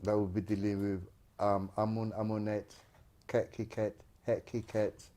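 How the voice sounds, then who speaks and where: Man, low voice, quiet co-host, short remarks. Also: flat delivery, moderate pace. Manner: quiet, mostly brief agreeing murmurs. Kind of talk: casual livestream Q&A.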